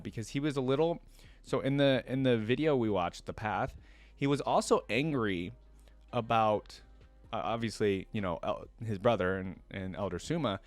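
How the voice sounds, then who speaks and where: Man, lower-pitched voice, dry recording, reaction host, reading aloud, casual thinking-out-loud commentary. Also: dry recording. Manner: informal, thinks aloud between read passages.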